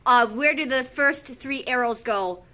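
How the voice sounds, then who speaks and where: American lady teacher, high bright voice, talking to her class in a schoolroom. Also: unhurried. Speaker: English teacher. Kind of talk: classroom lesson with reading aloud. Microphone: camera a few feet away.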